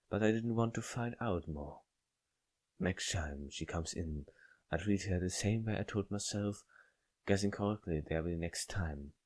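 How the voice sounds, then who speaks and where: A man's voice: deep voice, husky. A man reading a visual novel aloud and commenting on it, stumbling over words now and then. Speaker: video game player reading aloud.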